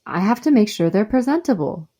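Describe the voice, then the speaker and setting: A woman's voice: medium pitch, very animated, brisk, mellow, slightly rough. An actress playing a nervous, self-mocking young woman who feels queasy, in a radio play. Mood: anxious. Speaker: actor.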